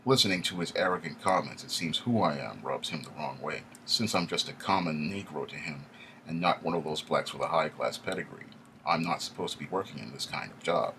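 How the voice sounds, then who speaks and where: A man reading a first-person story aloud in a steady, wry storytelling manner. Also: a bass voice, brisk, a little hiss or hum. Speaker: audiobook narrator. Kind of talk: first-person audiobook narration.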